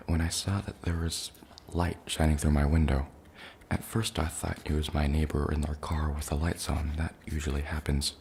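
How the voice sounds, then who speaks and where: Shaken male invasion survivor, played by a man: very low, fry-heavy, soft, halting testimony for ASMR drama. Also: a little hiss or hum. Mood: puzzled, hesitant. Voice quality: gravelly, creaky low voice. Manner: hesitant, halting, plainly worded recollection.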